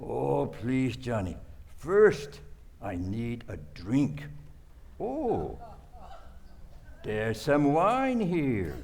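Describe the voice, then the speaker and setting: A man's voice: medium pitch, wildly swooping pitch, extremely slow, vocal fry, long pauses, darkish tone, heavily acted. A man playing a nervous, pleading, boozy doctor in an old-time radio play. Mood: weary pleading. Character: nervous, boozy male doctor, a criminal's sidekick.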